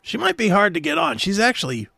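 Guy talk show host, higher voice, really animated, irreverent chatty banter, super dry close sound. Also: fast talker, vocal fry, slightly rough, no echo. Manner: irreverent banter, swears casually. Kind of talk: casual chat.

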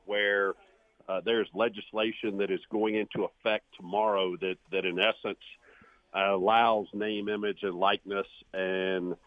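Halting American man on phone-like audio. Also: lowish voice, no room sound. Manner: halting, hesitant phrasing. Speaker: university athletic director. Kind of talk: interview answer. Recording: thin, phone-like interview audio.

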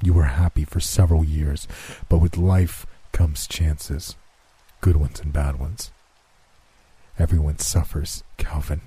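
Death figure, measured and ominous, deep husky voice, read by a man. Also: little pitch movement, dark tone, exaggerated voice, rough recording. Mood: solemn. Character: calm ominous male Death, grim reaper.

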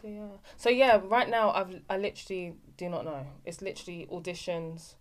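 Young woman actress chatting about her work, a bit low voice, keeps restarting her sentences. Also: a little fast, slight background noise. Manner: animated storyteller, trailing restarts. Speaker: actress guest. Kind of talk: casual interview chat.

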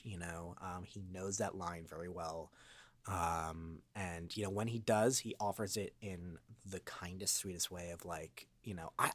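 A man speaking as a guest voice actor in a reflective interview, earnest and rambling, often halting as he finds his words. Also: low voice.

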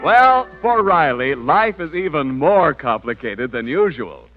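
A man's voice: fairly high pitch, big pitch swings, measured pace, over music. An American man reading scripted narration and sponsor messages as a 1940s radio announcer. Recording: muffled vintage radio recording.

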